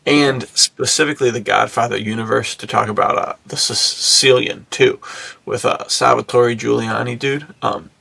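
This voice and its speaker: A man with a very gravelly, husky voice talks casually as a podcast co-host. He is enthusiastic and slangy, with a laid-back bro-ish way of phrasing, at an ordinary male pitch. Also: moderate pace, sharp tone, no echo. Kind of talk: casual podcast chat about film and menswear.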